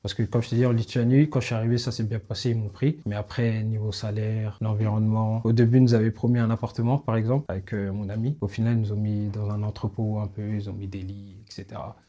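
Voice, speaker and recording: A young man, a footballer, answering interview questions in relaxed, plain street talk. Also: fairly low pitch, fast talker, few pauses. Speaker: footballer guest. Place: outdoors in a neighbourhood.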